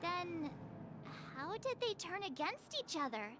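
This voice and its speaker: A woman's voice: extremely high pitch, sing-song, unhurried, background noise, full character voice. A woman voicing a curious young girl heroine in a video game. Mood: curious, puzzled. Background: game music.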